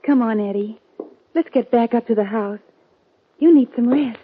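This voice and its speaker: A woman playing an earnest, tender-hearted young frontier woman, halting and emotional, in 1950s radio western style. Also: fairly high pitch, lightly acted. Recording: tinny, faintly noisy 1950 radio recording.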